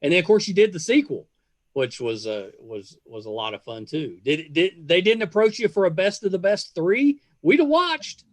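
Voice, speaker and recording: Male podcast host, 50s, slightly high-pitched, casual and enthusiastic, halting delivery with trailing sentences. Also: very animated, dry recording. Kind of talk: casual interview chat.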